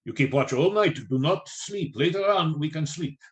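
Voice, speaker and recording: A man's voice: a little high, normal speed, close to an everyday voice. A man acting in a radio play as an older professor, a learned foreign doctor who speaks formally and gives grave, urgent orders. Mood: grave, commanding. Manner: imperative, formal, solemn phrasing.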